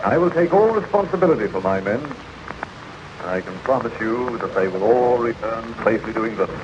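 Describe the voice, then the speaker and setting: A man's voice: on the low side, animated, a little fast, close to an everyday voice. Man playing a commanding ship's captain, noisy old radio. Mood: assured. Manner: formal, authoritative. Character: steadfast, courteous, commanding male ship's captain. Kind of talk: 1930s radio drama acting. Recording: muffled, very noisy 1930s radio recording.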